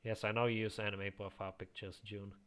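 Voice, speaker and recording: A man's voice: fairly low pitch, brisk. Man with a slight Eastern European accent. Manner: reads chat aloud, chuckles often, relaxed. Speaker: streamer. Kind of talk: casual live-stream chat while playing. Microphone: quiet home microphone.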